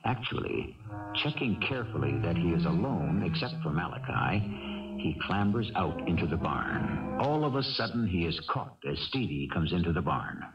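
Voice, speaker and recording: Deep American radio narrator over music. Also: male voice, very animated, normal speed. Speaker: narrator and host. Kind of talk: scripted dramatic radio narration. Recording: dull, distant vintage radio recording.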